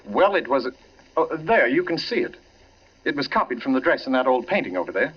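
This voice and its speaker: An actor playing a flustered, defensive gentleman in 1940s radio drama style, halting and protesting. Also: mid-range voice, big pitch swings, husky. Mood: uneasy explaining. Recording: muffled old radio broadcast.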